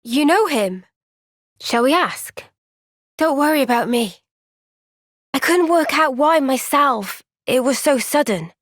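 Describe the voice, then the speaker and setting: An actress playing an earnest, gentle but firm young woman fighter in a video game, speaking in a measured, sincere way. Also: high voice, lively intonation, dry recording, clean studio sound. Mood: thoughtful. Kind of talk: video game cutscene voice acting.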